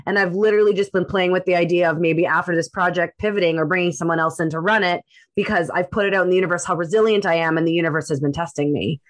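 A woman's voice: lowish voice, steady tone, fast. A woman co-hosting a podcast, talking candidly in long run-on sentences. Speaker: co-host. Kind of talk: casual podcast talk.

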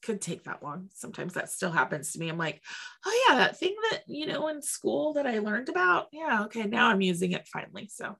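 A woman co-hosting a veterinary podcast in casual chat, acting out little imagined quotes in an animated way, with a slightly stuffy nose. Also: medium pitch, fairly quick, vocal fry, husky. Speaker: podcast co-host; veterinary technician.

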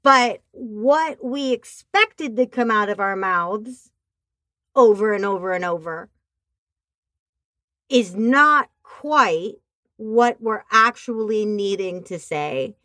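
A woman with a nasal voice talking casually on a podcast, expressive and animated as she tells stories. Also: mid-range voice, slow, no echo, clean studio sound. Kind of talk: casual chat. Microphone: remote call.

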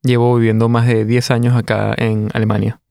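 A man's voice: medium pitch, little pitch movement, brisk, vocal fry, mellow, dry recording, clean studio sound. A man with a Venezuelan accent, giving plain, explanatory answers as an interview guest. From Caracas, Venezuela.

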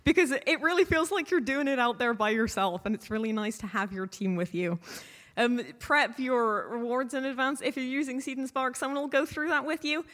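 A woman's voice: high-pitched, a little fast, fairly bright tone. A woman speaking as a panel guest, giving informal advice in a wry, casual way. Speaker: panel guest, podcast creator. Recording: echoey hall with long reverb.

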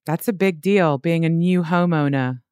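A woman's voice: low-pitched, normal speed, a little mellow, clean tone, no echo, studio quality. A woman interviewing a guest, asking attentive, probing questions. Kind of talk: conversational interview.

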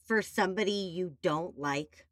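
A woman's voice: fairly low pitch, unhurried, no room sound, studio quality. A woman hosting a podcast who chats playfully and animatedly and speaks directly to the listeners. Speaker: podcast host. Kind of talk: casual conversation.

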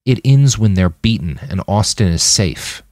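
A man reads the part of a weary young man in an audiobook, grieving yet defiant. He is blunt and plain-spoken, with a low voice that moves expressively, recorded dry. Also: lively intonation, measured pace, darkish tone, no room sound.

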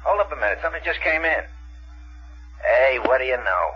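An actor playing a casual, brisk police colleague making a phone call in a 1970s radio drama. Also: male voice, medium pitch, lively intonation, close to an everyday voice. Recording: dull vintage 1970s radio recording.

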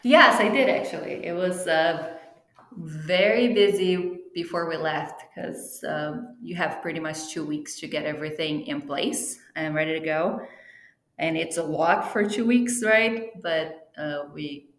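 A woman whose first language is Portuguese chats as an interview guest, slipping in fillers and restarting her sentences. Her voice sits a little low and rings in a noticeably echoey room. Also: a little slow, a bit bright. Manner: chatty, with frequent fillers and restarts. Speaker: interview guest, team travel planner. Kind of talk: casual interview chat.

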